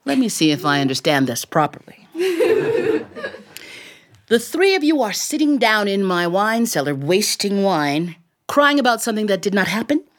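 A woman's voice: medium pitch, big pitch swings, moderate pace, close to an everyday voice. Brief: a woman playing an incredulous, scolding young man in a folk tale. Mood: exasperated. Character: exasperated, blunt young man, a tailor.

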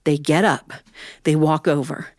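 American lady doing live storytelling, folksy and animated, dry sound, pauses for effect and chats to the listeners. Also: low voice, no echo. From Ohio, United States.

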